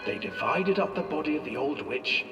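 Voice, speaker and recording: A man's voice: on the high side, very animated, normal speed. A man playing a sinister storyteller, reading a fairy tale in a theatrical, scripted way. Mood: ominous storytelling. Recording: echoey hall, distant, music behind.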